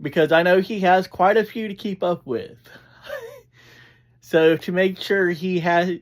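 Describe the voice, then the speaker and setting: Slow-paced, halting casual reviewer. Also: medium pitch. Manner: halting, digressive. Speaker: audio drama reviewer, host. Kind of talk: casual rambling review chat.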